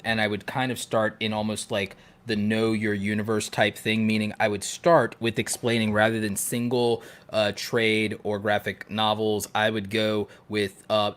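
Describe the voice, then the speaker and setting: A man with a fairly low voice chats casually as a podcast co-host. He explains his ideas with enthusiasm, working through them aloud point by point. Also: fairly bright tone. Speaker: podcast co-host and content creator. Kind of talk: casual podcast chat, giving advice.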